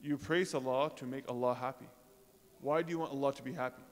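A man giving an informal sermon to a congregation, talking in a conversational way with pauses, fillers and rhetorical questions. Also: medium pitch, fairly even intonation. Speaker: religious speaker. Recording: reverberant hall.

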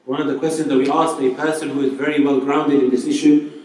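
A man's voice: mid-range voice, flat delivery, quick pace, a little mellow. A man preacher giving an informal Islamic talk to a live audience, chatty and full of rhetorical questions. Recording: very echoey hall, voice from far off.

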